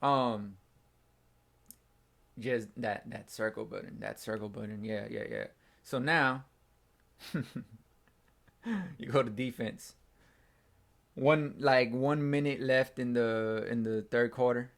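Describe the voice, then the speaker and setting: An excitable young man with a hoarse, worn-out voice from a day of screaming. He talks in loose, slangy bursts, his pitch sitting in an ordinary middle range. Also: slow pace, long pauses. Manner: excitable, slangy, says bro a lot. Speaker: fan channel host. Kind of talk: casual post-game reaction.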